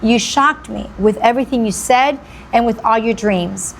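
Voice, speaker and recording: A woman's voice: on the high side, normal speed, creaky, a little hiss or hum. A woman hosting a radio interview, gushing and repeating phrases for emphasis. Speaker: radio show host. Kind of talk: enthusiastic interview.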